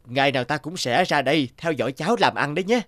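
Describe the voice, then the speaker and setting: A man's voice: fairly high pitch, expressive, quick pace, a bit bright, no echo, studio quality. An actor playing a big, sceptical cartoon businessman who bargains in a condescending manner. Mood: shrewd bargaining. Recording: music behind the voice.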